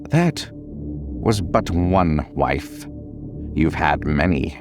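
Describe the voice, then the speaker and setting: A man voices a cryptic old-world Count in a dramatic audio story. He is extremely low and slow, grave and full of disdain. Music plays behind his measured, condescending words. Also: lively intonation, exaggerated voice. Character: cryptic, disdainful male Count, an ancient guide delivering hard truths.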